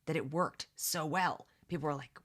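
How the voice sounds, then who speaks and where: A woman's voice: low-pitched, moderate pace, fairly bright tone. A woman hosting a read-along, mixing reading aloud with casual, opinionated commentary in an emphatic, conversational way that addresses listeners directly.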